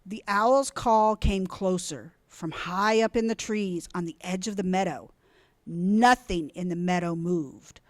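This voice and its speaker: A woman reading a children's storybook aloud in an expressive, deliberate, dramatic way. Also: lowish voice, no echo, studio quality. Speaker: storybook reader.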